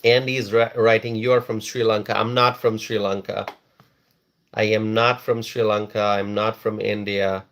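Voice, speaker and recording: A man's voice: on the low side, level tone, moderate pace, a bit bright. A man from Bangladesh hosting a casual live stream, reading viewers' comments aloud and repeating phrases for emphasis.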